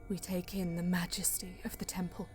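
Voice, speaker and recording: A woman playing a solemn, proud female Eldar bonesinger in a sci-fi audio drama, narrating in a measured, hushed, portentous way. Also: lowish voice, little pitch movement, natural voice. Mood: reverent wonder. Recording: music under the voice. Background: music.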